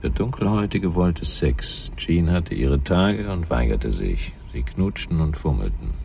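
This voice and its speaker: Hard-boiled older male detective, dark gravelly voice, matter-of-fact and grim, radio crime drama. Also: a bass voice, sing-song, measured pace, a little hiss or hum, full character voice. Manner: blunt, clipped, matter-of-fact.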